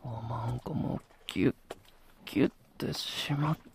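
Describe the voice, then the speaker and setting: A man's voice: mid-range voice, fairly even intonation, measured pace, creaky, a little mellow, slightly rough, full character voice. A man playing an adoring, possessive young lover in an intimate audio drama, murmuring coaxingly and often whispering. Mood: hushed, tender.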